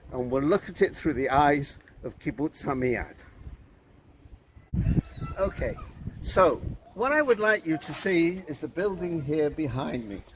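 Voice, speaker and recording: A man in his seventies from Manchester with a British accent, giving a measured, storytelling guided talk about kibbutz life. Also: fairly high pitch, slight background noise, low-quality recording. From England. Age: 70s. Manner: slow, measured, storytelling delivery. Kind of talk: unscripted guided explanation to camera. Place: outdoors on a kibbutz.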